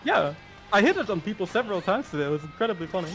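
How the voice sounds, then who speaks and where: A man's voice: high voice, sing-song, very fast, fairly bright tone, soft background music. A man doing casual live fighting-game commentary, laid-back and joking, with frequent swearing. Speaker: esports commentator.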